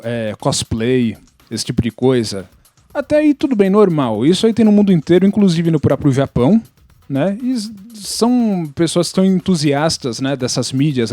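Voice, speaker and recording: A man's voice: fairly high pitch, mellow, dry recording. A Brazilian man explaining things casually on a podcast, often checking in with tag questions. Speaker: podcast panelist.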